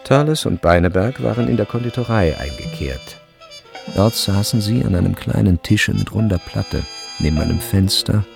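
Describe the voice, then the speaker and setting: A man narrating a literary radio drama in a measured, deliberate way, with a gravelly voice. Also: low voice. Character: third-person narrator of a turn-of-the-century school story. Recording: studio with music behind. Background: instrumental music.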